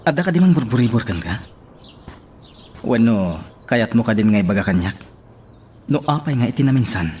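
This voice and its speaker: An actor playing a hurt, probing friend in an Ilocano radio drama. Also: male voice, mid-range voice, animated, normal speed, pauses often, warm tone, slight background noise, close to an everyday voice. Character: hurt, probing man confronting his close friend.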